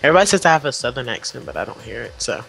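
A man's voice: mid-range voice, big pitch swings, very fast, vocal fry, noisy background. A man joking around as a guest player in casual game chat. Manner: joking, teasing.

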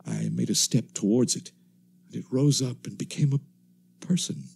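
An actor playing a weary, watchful wandering survivor in a radio drama, speaking in a measured, solemn, literary way. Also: male voice, medium pitch, gravelly, a little mellow, breathy edge. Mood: somber, haunted. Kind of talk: dramatic radio play narration.

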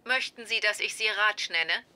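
A woman's voice: medium pitch, normal speed, lightly acted. A woman playing a polite, formal, literal-minded phone assistant in a dubbed sitcom. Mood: polite, obliging. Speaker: voice actor in a sitcom dub. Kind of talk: German-dubbed sitcom dialogue. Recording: noisy sitcom soundtrack with clicks.

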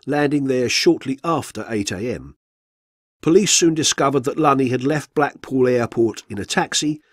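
Male radio narrator, true-crime script: measured, factual, husky edge, dry studio sound. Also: medium pitch, no room sound.

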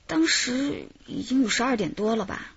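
Radio drama housewife, thirties: high, husky, gossipy and self-pitying, muffled roomy sound. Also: female voice, expressive, moderate pace, exaggerated voice. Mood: animated, recounting. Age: 30s. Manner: rambling, gossipy, dramatic sighs. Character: chatty, self-pitying housewife of thirty-two, crime victim.

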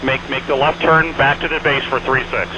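A man's voice: mid-range voice, fairly even intonation, moderate pace, muffled recording. A man working as an air traffic controller, giving clipped, repeated, courteous instructions over aviation radio. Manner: clipped, repetitive directives with courteous sign-offs. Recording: band-limited aviation radio, aircraft engine noise. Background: small airplane engine drone.

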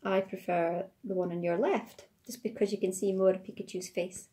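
A woman's voice: medium pitch, fairly even intonation, normal speed, dark tone. A woman co-hosting a card-opening video from off camera, adding brief casual remarks indoors. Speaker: co-host. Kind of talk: casual chat.

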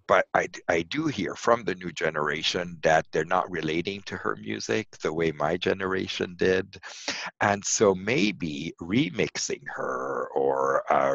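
Older Lebanese-born man, low husky voice, dry muffled call audio, thoughtful and rambling. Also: no room sound. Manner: warm, reflective, with frequent fillers and restarts. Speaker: lecturer and radio host being interviewed. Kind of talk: casual conversational interview. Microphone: video call. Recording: dull lo-fi video call audio.

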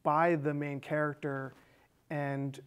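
American male guest, thirties, slightly high voice, casual conversational delivery. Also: level tone, takes it slowly, clear, resonant tone. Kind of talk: casual chat. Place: small indoor studio set with curtains. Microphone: lapel.

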